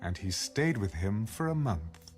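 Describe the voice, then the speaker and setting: A man's voice: on the low side, very animated, unhurried, soft background music. A man narrating scripture as a scripted, dramatic audio Bible reading.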